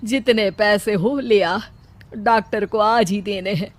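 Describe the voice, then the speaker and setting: A woman's voice: medium pitch, slow, close to an everyday voice. A woman voicing an anxious, caring older widowed mother-in-law in a village cartoon story. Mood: resigned, worried. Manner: worried, maternal.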